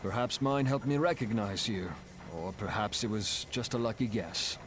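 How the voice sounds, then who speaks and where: An actor playing a proud, reflective exiled engineer and airship captain in a video game, speaking in an earnest, measured, solemn way. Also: male voice, fairly low pitch, noisy background, natural voice. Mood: wistful, proud. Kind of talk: dramatic video game voice acting.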